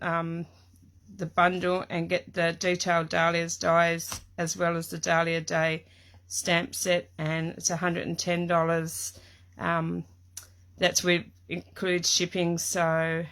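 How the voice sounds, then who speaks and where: A middle-aged woman from Tasmania with an Australian accent, hosting a casual live craft stream from her home craft room, chatty and greeting viewers by name, her voice hoarse and croaky from a cough. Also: on the low side, fairly bright tone.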